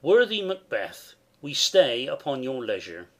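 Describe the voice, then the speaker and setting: An actor playing a wary, thoughtful noble soldier in a dramatic Shakespeare reading. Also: male voice, mid-range voice, sing-song, unhurried. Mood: wry, measured.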